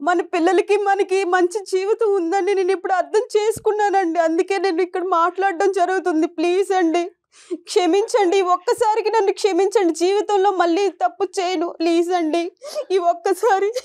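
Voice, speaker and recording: A woman pleads in a very high-pitched voice. She sounds beseeching and apologetic, and returns to the same appeals over and over. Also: steady tone, fairly quick, darkish tone. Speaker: wife, guest. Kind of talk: emotional pleading.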